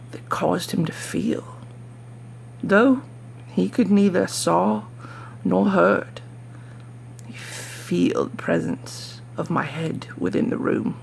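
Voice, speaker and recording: A performer voicing a nervous, obsessive mad murderer in a dramatic gothic horror reading, with breathy whispering and halting, theatrical emphasis. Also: mid-range voice, a little mellow, slight background noise, exaggerated voice, rough recording. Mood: dark, intense.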